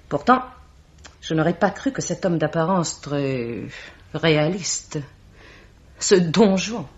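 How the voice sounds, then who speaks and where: An actress playing a haughty mystical bookseller in a French radio mystery, solemn, theatrical and full of contempt. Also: female voice, fairly low pitch. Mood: mystical, grave. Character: haughty mystical bookseller woman, accusing and ominous. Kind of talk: French 1950s-style radio mystery acting. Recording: dull vintage radio-drama recording.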